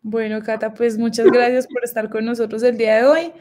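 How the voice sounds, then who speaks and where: A woman's voice: on the high side, little pitch movement, fairly quick, darkish tone. A woman host introducing a guest speaker on a video call.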